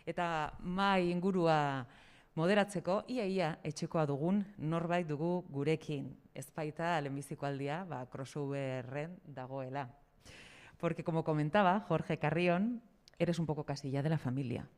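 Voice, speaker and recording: A woman's voice: low voice, measured pace, darkish tone. A woman introducing a public round table as its presenter. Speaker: event presenter.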